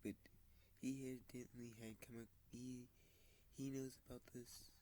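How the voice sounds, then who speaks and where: A man with a stuffy, sniffly voice talks slowly and haltingly in a casual vlog, often dropping to a soft, whispery tone. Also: mid-range voice, little pitch movement, a little hiss or hum. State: congested, sniffling. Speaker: model train channel host. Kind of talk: casual screen-recorded vlog.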